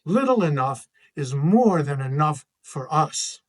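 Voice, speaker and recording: A kind mother from a picture book, read by a man in close to his own voice. She is thankful and patient, speaking in measured storybook phrases. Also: on the high side, very animated, pitched below the performer's own voice, heavily acted. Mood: kindly, grateful.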